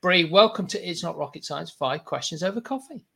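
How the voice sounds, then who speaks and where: A man hosting an interview podcast, his voice slightly hoarse, asking questions in a friendly way and often restarting his sentences. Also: on the high side, lively intonation, fairly quick, bright, forceful tone. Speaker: podcast host. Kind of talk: casual interview.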